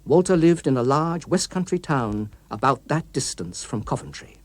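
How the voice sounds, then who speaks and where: Expressive male narrator, lively swooping pitch. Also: normal speed. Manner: precise, literary, expressive. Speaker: audiobook narrator. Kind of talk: scripted dramatic story narration.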